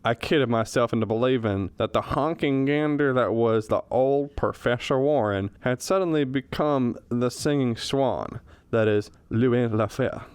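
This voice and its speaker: A man's voice: medium pitch, measured pace, darkish tone, clean tone, dry recording, close to an everyday voice. A man acting a self-mocking history professor who grumbles in florid, wry phrases in a radio comedy. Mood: sheepish, wry.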